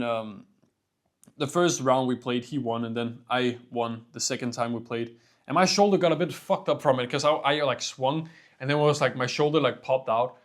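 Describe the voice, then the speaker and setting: Young Danish man rambling casually. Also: mid-range voice. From Denmark. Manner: rambling, swears casually, says "bro" and "like" a lot. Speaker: vlogger. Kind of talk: casual vlog monologue.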